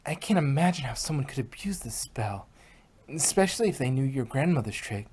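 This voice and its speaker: Picture an earnest, bookish unicorn mare played by a woman in a cartoon fan drama. Her voice is husky and unpolished in tone, explaining things seriously. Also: very deep voice, expressive, a little fast, darkish tone, exaggerated voice. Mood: worried. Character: studious, earnest female purple unicorn pony. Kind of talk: fan fiction audio drama reading.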